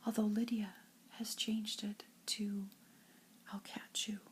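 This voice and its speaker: An older woman with a breathy, husky voice reads nursery rhymes like a grandmother, in an expressive singsong storytelling way. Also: mid-range voice, slow, a little hiss or hum. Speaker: grandmother reading to children.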